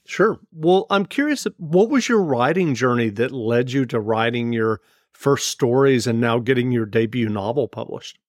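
A man's voice: medium pitch, normal speed, a little mellow, no echo, clean studio sound. A man interviewing an author with brief, curious questions.

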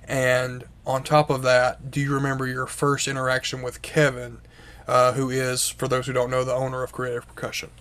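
A podcast host asking questions and giving brief affirmations in an even tone. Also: mid-range voice, normal speed. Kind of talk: interview host.

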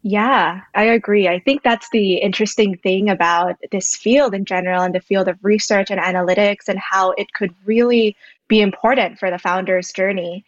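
A woman's voice: mid-range voice, fairly even intonation, normal speed, no echo. Young woman from indonesia, earnest podcast guest, kinda muffled dry audio. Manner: thoughtful, earnest, explains at length. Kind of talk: podcast interview. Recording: dull lo-fi dry recording.

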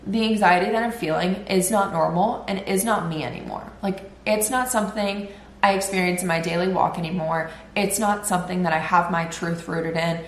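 A woman's voice: medium pitch, echoey room, a little hiss or hum. A woman in her twenties hosting a solo podcast, chatty and rambling, sounding nasal and congested as she recovers from illness. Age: 20s. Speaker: podcast host. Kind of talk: casual solo podcast chat.

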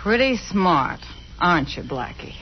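High-voiced defiant crook, old radio. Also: male voice, very animated, measured pace, exaggerated voice. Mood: defiant, sneering. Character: defiant, mouthy male crook facing down a detective. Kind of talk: 1940s radio acting. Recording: muffled, noisy old-time radio recording.

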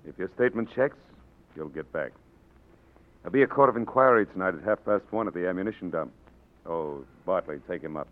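An actor playing a cold, stern rebel commandant who questions in a measured way, in a 1940s radio drama on a tinny old broadcast. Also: male voice, deep voice, expressive.

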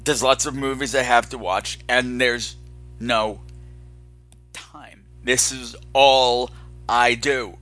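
A man's voice: medium pitch, fairly even intonation, takes it slowly, sharp tone, faint music behind. A man hosting a podcast in a candid, unscripted monologue, dramatic and emphatic, sounding weary and exasperated. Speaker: podcast host.

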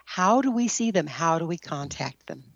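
Female talk radio interviewer, creaky gravelly delivery, dull dry audio. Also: lowish voice, expressive, normal speed, no room sound. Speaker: radio show host.

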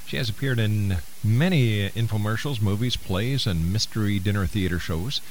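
A man's voice: fairly low pitch, normal speed, a little hiss or hum, poor audio. A man hosting a radio interview, courteous and affectionate with his guest, with some stammered restarts. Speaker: radio talk show host.

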